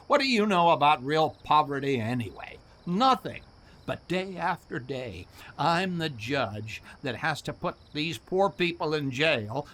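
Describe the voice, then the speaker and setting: A man's voice: on the high side, fairly bright tone, heavily acted. A man acting a grumbling, henpecked older husband who is a judge, in a radio play.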